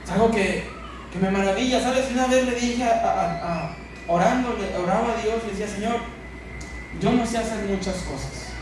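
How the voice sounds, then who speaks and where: A man with a Mexican accent preaching a sermon as a pastor, speaking emphatically with repeated phrases and pauses for effect. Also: high-pitched. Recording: echoey church hall, distant mic, noisy.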